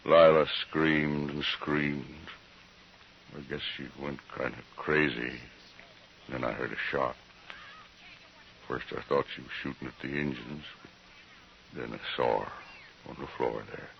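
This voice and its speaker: Very deep, gravelly, weary homesteader. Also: male voice, fairly even intonation, full character voice. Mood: grieving, numb. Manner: plain rustic speech, halting, subdued. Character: gaunt weary frontier homesteader, grieving, resigned, guilt-haunted. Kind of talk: old-time radio western acting. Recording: dull, hissy old radio recording.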